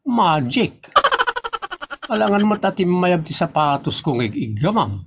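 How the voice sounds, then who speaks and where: A man acting the part of a fussy husband in a homemade comedy skit, complaining and teasing in quick bursts. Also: high-pitched, big pitch swings, background noise, heavily acted. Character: fussy husband, protective of his leather shoes.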